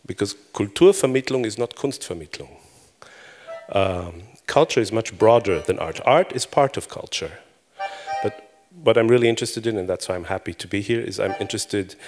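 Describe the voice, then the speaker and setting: Middle-aged man, native Hebrew speaker, lowish voice, chatty and self-deprecating keynote with restarts. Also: darkish tone. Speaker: conference speaker. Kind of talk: conference talk. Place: conference hall before an audience.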